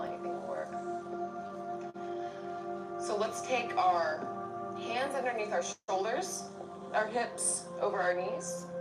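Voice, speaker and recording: A woman leading a guided yoga class, giving step-by-step cues for breath and movement in short phrases. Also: fairly low pitch. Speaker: yoga instructor. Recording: roomy distant mic under loud music.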